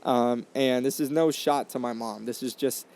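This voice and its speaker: Brief: man, 20s, podcast host, earnest and reflective, mid-pitched voice, talks through restarts, condenser mic. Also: fairly even intonation, normal speed, darkish tone. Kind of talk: casual storytelling monologue. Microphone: condenser microphone.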